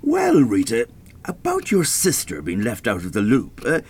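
A querulous retired Irish dad in a radio play. His pitch leaps about wildly as he hems, haws and frets. He is an older Dublin man, gullible and expressive. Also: normal speed, slightly rough, exaggerated voice. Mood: self-satisfied, musing. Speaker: radio drama actor.

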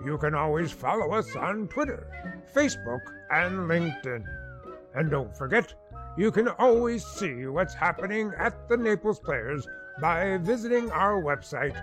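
A man's voice: a little high, big pitch swings, unhurried, poor audio. A man reading a scripted closing announcement as the announcer of a radio theater production. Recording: clear music behind the voice. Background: music.

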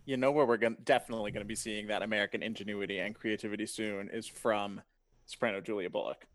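A man's voice: on the low side, fairly even intonation, fast, a bit bright. A man co-hosting an opera talk show, speaking with emphatic enthusiasm. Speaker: co-host. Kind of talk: casual chat.

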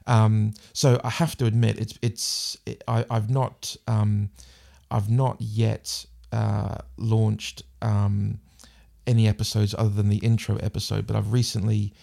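A man's voice: lowish voice, little pitch movement, a little slow, vocal fry, warm tone. A man with a New Zealand accent, a podcast guest talking thoughtfully in casual conversation, often restarting and repeating words. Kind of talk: casual interview chat.

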